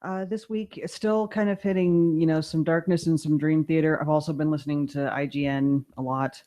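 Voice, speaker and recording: Higher-voiced man, laid-back podcast chat. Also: fairly even intonation, normal speed, mellow. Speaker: podcast co-host. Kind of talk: casual chat.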